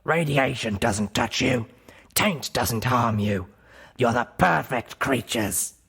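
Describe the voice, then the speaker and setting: A deranged, obsessive undead doctor from a storybook, drags out his muttered words slowly. The voice is husky and full of gravelly creak, as if he is rambling to himself. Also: medium pitch, a bit bright, exaggerated voice. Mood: obsessed ranting. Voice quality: raspy, gravelly. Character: cracked undead ghoul pony doctor, obsessive, muttering, deluded. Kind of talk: audiobook character voice.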